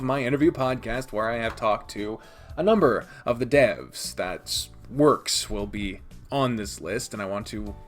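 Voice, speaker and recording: A man with a mid-pitched voice that moves up and down in a lively way. He talks casually as a reviewer, sardonic and quick to swear, often cutting into his own sentences. Faint music plays behind him. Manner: sardonic, sweary, self-interrupting. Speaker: video reviewer and podcast host. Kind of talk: casual opinionated countdown commentary to camera.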